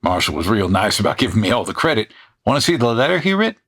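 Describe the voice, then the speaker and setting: A man's voice: on the low side, very animated, fast talker, fairly bright tone, heavily acted. An actor playing a sheepish, chuckling traveling salesman in a comedy Western audio drama, rambling in a folksy old-West way. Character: bashful folksy traveling salesman, middle-aged man.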